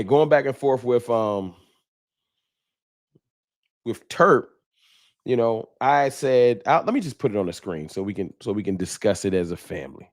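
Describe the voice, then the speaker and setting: A man's voice: fairly low pitch, darkish tone, no room sound, clean studio sound. An American man hosting a sports fan livestream, talking casually and candidly to his viewers in informal, colloquial speech. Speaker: livestream host, sports fan content creator. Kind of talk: casual livestream talk to viewers, reading chat.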